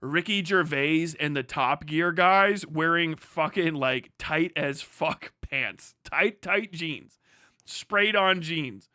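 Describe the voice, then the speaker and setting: A man's voice: a little high, bright, forceful tone, clean tone, no room sound, studio quality. A man hosting a livestream, rambling casually with plenty of swearing and joking, exaggerated rants. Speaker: livestream host.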